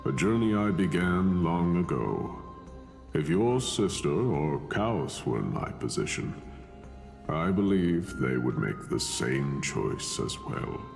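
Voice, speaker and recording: Very deep, solemn warrior lord. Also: male voice, expressive, vocal fry, darkish tone, full character voice. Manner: solemn, formal, measured. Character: grave, resolute male warrior lord facing sacrifice. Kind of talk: dramatic game voice acting. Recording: music behind.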